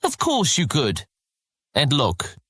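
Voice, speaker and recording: A man's voice: fairly high pitch, wildly swooping pitch, takes it slowly, pushed and bright, breathy edge, no echo, clean studio sound, full character voice. A man playing a mischievous young street rat in a fantasy audio drama, teasing and persuading as he talks. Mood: eager, scheming.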